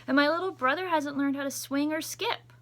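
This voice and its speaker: A woman's voice: high voice, fairly quick, fairly bright tone, voice pushed up. A woman voicing a candid, matter-of-fact young schoolgirl in a storybook, speaking frankly and emphatically.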